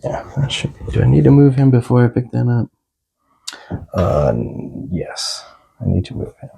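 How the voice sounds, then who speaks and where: A man talks through his turns in a tabletop game, relaxed and chatty. His voice has a gravelly fry and a warm, dark tone. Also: medium pitch, moderate pace, low-quality recording. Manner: relaxed, chatty about his own plays. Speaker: co-host, opponent player. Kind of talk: casual tabletop game talk.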